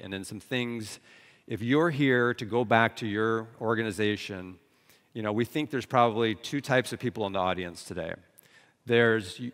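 A man's voice: fairly low pitch, a bit bright. An American man in his forties giving a conference presentation as a consultant. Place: large conference hall stage, indoors. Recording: reverberant hall, stage PA.

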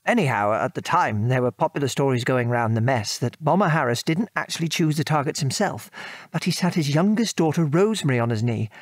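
An actor with a British accent playing a gentle middle-aged father, a former RAF man, reminiscing in an old-fashioned genteel manner in a radio play. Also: medium pitch, lively intonation, brisk, hardly any pauses, no echo, clean studio sound, natural voice. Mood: amused, explaining. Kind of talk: radio drama dialogue, reminiscing.

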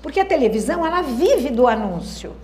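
A Brazilian woman speaking to students in a roomy hall, her fairly high voice rising and falling with animation. She pauses and restarts as she works her ideas out, and often turns to the audience with questions. Faint noise sits under the room echo. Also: very animated, darkish tone. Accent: Brazilian Portuguese. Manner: thoughtful, hesitant restarts, rhetorical questions to the audience. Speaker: lecturer. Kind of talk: university lecture. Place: lecture hall.

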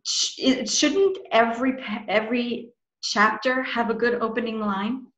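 A woman's voice: fairly high pitch, steady tone, unhurried, fairly bright tone. A middle-aged woman casually interviewing a guest over a video call from a small room, with roomy, slightly dull audio. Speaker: interviewer, host. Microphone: video call, at desk distance.

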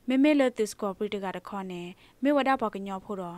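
A woman's voice: mid-range voice, fairly quick, darkish tone, no echo. A woman presenting the news, reading from a script as a radio newsreader.

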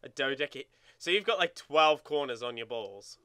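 A man's voice: mid-range voice, expressive, normal speed, pushed and bright, clean tone, dry recording, studio quality. A man co-hosting a let's play with joking, sweary casual banter, often repeating himself for emphasis. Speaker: let's play co-host and gamer. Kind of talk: casual banter over competitive gameplay.

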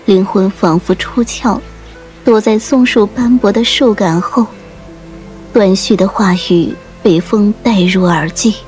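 Unhurried woman narrating an audiobook. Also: medium pitch, darkish tone. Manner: measured, literary. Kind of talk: dramatic scripted storytelling narration. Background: faint music.

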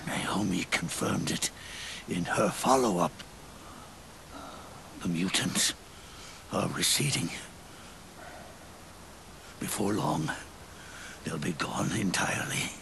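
An actor playing a weary, regretful old soldier near death in a video game cutscene, with a gravelly, breathy, halting and pained delivery. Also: male voice, sits low, big pitch swings, fairly bright tone, a little hiss or hum, heavily acted. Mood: labored, explaining. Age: older. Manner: halting, solemn, labored delivery. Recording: orchestral music behind the voice.

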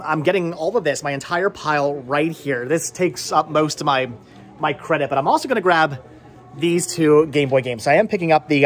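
A middle-aged man hosting a casual vlog in a shop, rambling and repeating words for emphasis, recorded on a camera held at arm's length. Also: on the high side. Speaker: host. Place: inside a small cluttered movie and game shop. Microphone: phone or camera at arm's length.

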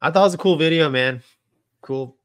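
A man's voice: fairly high pitch, a little fast. An American man in his forties chatting casually and informally as a podcast co-host. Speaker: podcast co-host, military pilot. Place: small home studio room. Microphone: close studio microphone with headphones.

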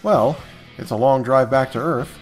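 A man's voice: mid-range voice, normal speed, soft background music. A man hosting a comedic commentary video, sarcastic and exasperated as he rants. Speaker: host.

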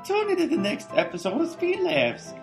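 A melodramatic radio announcer, acted by a woman in a silly voice. Pitch swings extremely widely through portentous cliffhanger questions, with music behind. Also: very animated, normal speed, gravelly, darkish tone, heavily acted. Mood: silly, dramatic. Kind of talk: old-time radio serial announcing.

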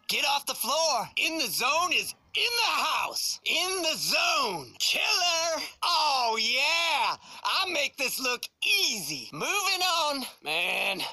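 A man's voice: extremely high pitch, vocal fry, sharp tone, no room sound, full character voice. A man voicing a cocky young male DJ tuner car in a racing game, bragging in hyped street slang. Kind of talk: video game voice clips.

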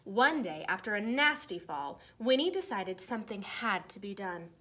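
Young female storyteller, picture-book read-aloud for children, expressive and deliberate phrasing, mid-range voice. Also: mid-range voice. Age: young adult. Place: small decorated room indoors.

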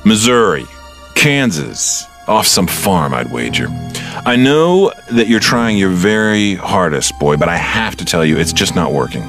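An actor playing a smug, condescending criminal mastermind in an audio drama, with a suave, theatrical delivery that stretches out words. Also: male voice, low voice, big pitch swings, a little slow, music in the background, exaggerated voice.

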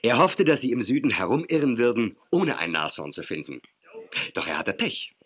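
Courteous government ranger, bright forceful voice, very lively pitch, formal, 1980s children's radio play. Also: male voice, normal speed, breathy edge, muffled recording. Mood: calm, explaining. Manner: formal, explanatory. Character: self-assured, courteous male undercover government ranger. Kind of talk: 1980s children's audio drama acting.

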